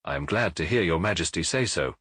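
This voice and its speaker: A man's voice: sits low, animated, brisk, a bit bright, husky, no room sound, clean studio sound, voice pushed down, exaggerated voice. A man voicing a cool, incisive detective with precise, formally polite speech, as in an audiobook. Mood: cold. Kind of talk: audiobook character acting.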